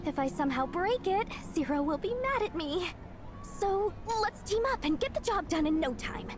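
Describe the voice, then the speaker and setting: A woman's voice: extremely high pitch, lively intonation, moderate pace, background noise. An actress voicing a cheerful young woman engineer in a video game, breezy and coaxing as she asks a friend for help. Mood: friendly.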